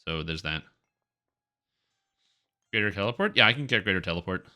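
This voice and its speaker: A low-pitched man with a bright, forward voice that sounds stuffed up and nasal. He rambles casually to himself and his viewers while playing on a live stream. He is close on the mic, with faint music behind him. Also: animated, moderate pace. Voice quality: congested, nasal. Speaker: video game streamer. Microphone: close microphone.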